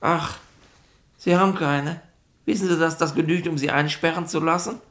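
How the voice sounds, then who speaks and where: A gravelly, mocking police inspector. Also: male voice, on the high side, steady tone, moderate pace, fairly bright tone. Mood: officious, scolding. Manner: pedantic, sarcastic, colloquial bureaucratic bluster. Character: gruff, mocking, officious male police inspector. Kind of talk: 1960s radio play acting.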